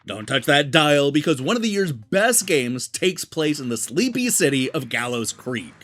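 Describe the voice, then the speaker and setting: A man delivering a scripted video game review as the show's host, with emphatic, deliberate phrasing. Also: fairly high pitch, very animated, a bit bright. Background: background music.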